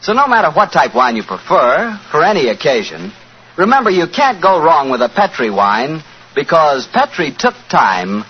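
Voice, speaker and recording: An old, dull-sounding radio recording with light noise carries an American man as announcer. His voice is on the high side and very animated in pitch. He sells in a smooth, folksy 1940s broadcast manner. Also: moderate pace. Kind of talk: 1940s radio announcing and scripted sponsor banter.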